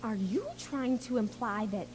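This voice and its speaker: A woman acting a confused, frightened sixteen-year-old girl in an emotional stage drama, halting and pleading in her delivery. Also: fairly high pitch, noisy background, lightly acted. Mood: defensive, pleading. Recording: music behind the voice.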